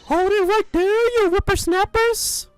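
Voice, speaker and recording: A performer voicing a clingy, dramatic old woman in a fan dub of a game, shrill and gushing. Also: a little slow, dry recording, faint music behind. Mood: scolding. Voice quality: shrill, high and loud. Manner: gushing, scolding, rambling.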